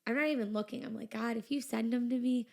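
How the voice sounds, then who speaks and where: A young woman talks openly as a podcast guest, her voice pitched a little high. A gravelly fry runs under her words, and she keeps filling them with "like" and "you know". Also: fairly even intonation, fast. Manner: chatty, lots of "like" and "you know". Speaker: business owner, guest. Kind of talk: casual interview chat.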